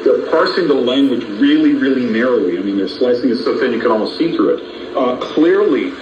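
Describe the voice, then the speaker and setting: A man's voice: medium pitch, lively intonation, fairly quick, reverberant, muffled recording. A man giving conversational political analysis in an interview, heard over noisy shortwave radio. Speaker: interviewed commentator.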